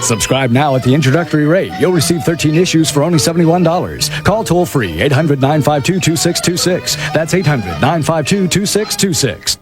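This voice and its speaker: A man's voice: on the high side, expressive, fairly quick, few pauses, over music. A man announcing a scripted call-now advertisement. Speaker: commercial announcer.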